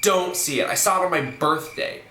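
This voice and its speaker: A man's voice: high-pitched, moderate pace, slight background noise. A man presenting a comedic scripted explainer in a theatrical, sarcastic way with sudden exclamations. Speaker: comedic web video host. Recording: roomy sound under loud music. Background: loud music.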